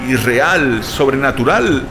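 An actor playing a shaken but defiant survivor of sea monsters in an intense, dramatic horror audio drama. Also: male voice, medium pitch, expressive, slow pace. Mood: dread, resolve. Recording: loud music over the voice.

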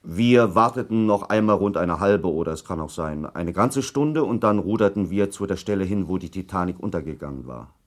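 A ship's officer under questioning in a documentary radio play. His voice sits fairly low but swings widely in pitch. He answers formally and curtly, defending himself firmly, now and then hesitating. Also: animated, brisk, hardly any pauses. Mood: controlled, formal. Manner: firm, clipped, formal answers with occasional hesitation. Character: defensive male British ship's officer testifying at inquiry. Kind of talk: German radio documentary drama acting.

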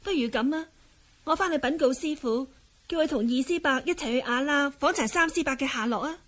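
Casting: young Cantonese swordswoman, high voice, polite and spirited, radio drama delivery. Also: brisk, a bit bright. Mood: earnest, dutiful.

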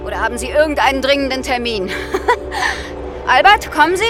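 A determined, impatient woman in a radio thriller. Her voice is high, with clear music behind it. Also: sing-song, moderate pace. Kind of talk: German radio crime drama acting.

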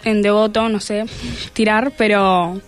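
A woman's voice: medium pitch, level tone, measured pace, faint music behind. A teenage young woman answering hesitantly and briefly in a casual interview. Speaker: student scriptwriter, interviewee.

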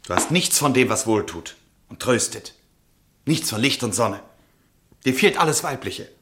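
A restless, declamatory count. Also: male voice, medium pitch, expressive, normal speed, a bit bright. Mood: cold, reproachful. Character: restless aristocratic count breaking with his wife. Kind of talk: German literary radio drama acting.

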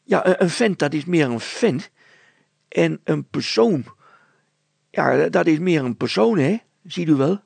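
Man putting on a muddled, high-pitched village woman. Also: expressive, a little slow, gravelly, a little mellow, slightly rough, dry recording, exaggerated voice. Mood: muddled, insistent. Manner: rambling, stumbling, repetitive, emphatic. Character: muddled, slightly deaf village woman, stubbornly insistent. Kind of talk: children's story character voice.

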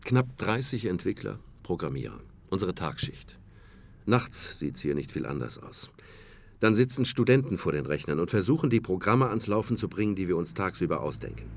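A man's voice: deep voice, sing-song, normal speed, gravelly, exaggerated voice. A man playing a self-assured, wry company boss in a naturalistic radio drama, articulate and somewhat formal. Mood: earnest, bitter.

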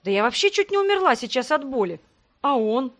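A woman's voice: high-pitched, big pitch swings, fairly quick, pitched above the performer's own voice. A woman voicing a fiery young fantasy heroine in an audiobook, dramatic and breathless with outrage. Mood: indignant.

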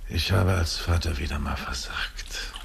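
An actor playing a middle-aged widower talking bitterly to himself in a radio drama monologue. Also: male voice, a bass voice, measured pace, creaky, a bit bright, a little hiss or hum, full character voice. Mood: brooding, wry. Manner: rambling, bitter soliloquy. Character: brooding, self-justifying widowed father talking to himself.

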